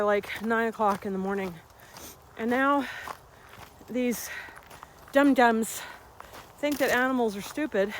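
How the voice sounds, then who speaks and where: A woman in her fifties talks animatedly into her phone as she hikes a rural trail, her voice a touch high and a little winded. A faint outdoor hiss sits behind her. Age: 50s. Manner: chatty, animated storytelling with laughs. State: slightly out of breath from walking uphill. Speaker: vlogger and health coach. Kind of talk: casual vlog while walking.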